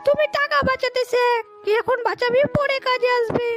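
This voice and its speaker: A woman's voice: very high voice, steady tone, moderate pace, highs cut off, over loud music. A woman voicing a thrifty, stubborn animal mother in a cartoon, scolding and insistent. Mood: nagging.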